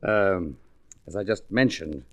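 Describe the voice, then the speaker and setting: An actor playing a well-bred, guarded insurance executive with a formal, hedging manner in a 1940s radio drama. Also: male voice, deep voice, slow, heavily acted.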